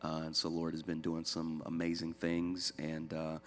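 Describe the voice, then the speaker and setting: Give me a deep-voiced young adult pastor from upstate New York sharing his story with self-deprecating humor. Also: completely flat delivery, moderate pace, a bit bright. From Syracuse, New York. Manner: easygoing, many "uh" fillers, self-deprecating humor. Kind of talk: church talk, personal testimony. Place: church room. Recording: long hall reverb.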